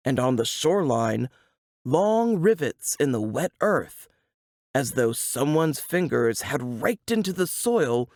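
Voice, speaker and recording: A woman reading a suspense novel aloud in a dramatic, deliberate storytelling manner. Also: sits low, darkish tone, dry recording, clean studio sound. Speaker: author reading from their novel.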